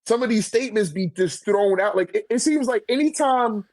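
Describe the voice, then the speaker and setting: A man's voice: high-pitched, animated, moderate pace, a little mellow. A man in a casual debate, talking in heavy slang with swearing and a repeated check that the listener follows. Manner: slangy, profane, repeats "you know what I'm saying". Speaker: debater on a manga ranking podcast.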